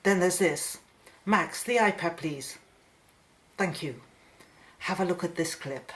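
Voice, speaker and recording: A woman's voice: lowish voice, slow. A woman playing an urgent, determined woman scientist in a radio play, grave and wary. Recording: roomy, echoing studio sound.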